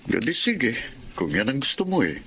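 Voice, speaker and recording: A man's voice: mid-range voice, huge pitch swings, moderate pace, fairly bright tone, slightly rough, exaggerated voice. Humble, hesitant Filipino working-class father. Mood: fatherly. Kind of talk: Filipino radio drama acting.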